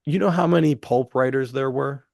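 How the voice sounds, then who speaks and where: A man's voice: medium pitch, moderate pace, vocal fry, dry recording, clean studio sound. A man co-hosting a podcast in casual, enthusiastic chat, earnest and slangy. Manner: earnest, animated wording with slangy "dude" and "like". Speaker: podcast co-host.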